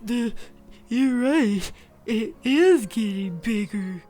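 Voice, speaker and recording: A woman acts out a dopey schoolboy colt from a cartoon storybook. The sneaky youngster mutters slowly in a dull, mellow, slightly high voice, with music behind. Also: lively intonation. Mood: dopey, furtive. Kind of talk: cartoon pony storybook character voice.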